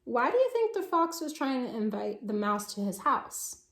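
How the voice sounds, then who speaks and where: A woman reading to young children and discussing the book, expressive and asking questions directly to the listeners. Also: on the high side, normal speed, roomy sound. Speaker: children's story reader and teacher. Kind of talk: animated read-aloud and discussion for young children.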